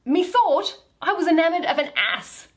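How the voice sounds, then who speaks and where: A graceful fairy queen, played by a woman, speaking Shakespearean verse. The voice is high and swoops expressively, full of dreamy wonder. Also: animated, moderate pace. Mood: startled wonder.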